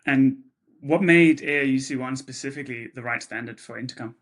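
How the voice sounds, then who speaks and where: A man's voice: mid-range voice, a little fast. A man who runs a company, interviewing a guest and adding his own commentary between questions. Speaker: interviewer, company chief executive.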